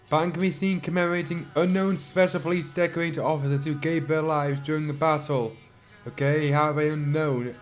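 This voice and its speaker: A man with a sore throat who reads fan fiction aloud, breaking off for dry, mocking asides and frequent throat clearing. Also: on the high side, fairly even intonation, normal speed, faint music behind. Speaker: channel host reading fan fiction. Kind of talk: dramatic reading with sarcastic commentary.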